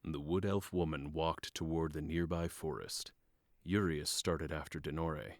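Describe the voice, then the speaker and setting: Deep male fantasy narrator. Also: unhurried, no room sound, studio quality. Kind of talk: scripted fantasy audio drama narration.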